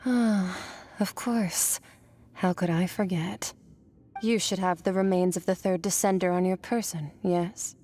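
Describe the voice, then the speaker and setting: A woman's voice: lowish voice, fairly even intonation, a little slow, mellow, soft background music, close to an everyday voice. A woman voicing an aloof, otherworldly warrior in a video game, detached and matter-of-fact. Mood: musing. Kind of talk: video game character acting.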